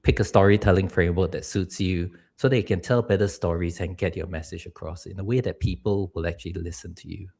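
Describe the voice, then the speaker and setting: A man's voice: low-pitched, fairly even intonation, fast talker, hardly any pauses, darkish tone. A middle-aged man from Singapore, a public speaking coach giving earnest advice on a live stream. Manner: earnest, advice-giving, laughs now and then.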